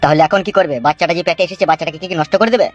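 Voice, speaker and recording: A moralizing village doctor played by a man gives calm, practical advice. The cartoon voice runs very quickly, with some music underneath. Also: on the high side, highs cut off, lightly acted. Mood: matter-of-fact. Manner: advising, matter-of-fact. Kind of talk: cartoon comedy-drama voice acting.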